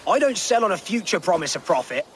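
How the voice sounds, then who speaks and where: An actor playing a fussy, grumbling, easily alarmed merchant in a video game. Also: male voice, high voice, big pitch swings, fast talker, a little hiss or hum. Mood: grudging, businesslike.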